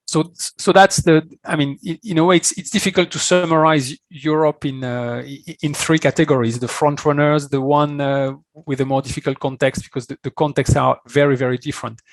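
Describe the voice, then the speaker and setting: A man speaking off the cuff as a conference panelist, explaining in a halting way and often repeating words. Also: on the high side, fairly even intonation, no room sound. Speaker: conference speaker, climate initiative representative. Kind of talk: spontaneous explanation at an online conference.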